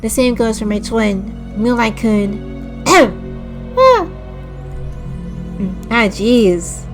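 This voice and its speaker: A woman with a slightly raspy throat reads a visual novel aloud at an unhurried pace, stopping to restart stumbled words and to drop short disgusted reactions. She talks into a streaming desk mic. Background music plays clearly under her voice. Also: on the high side, expressive. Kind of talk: slow dramatic read-aloud with casual asides to chat. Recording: music playing clearly behind the voice.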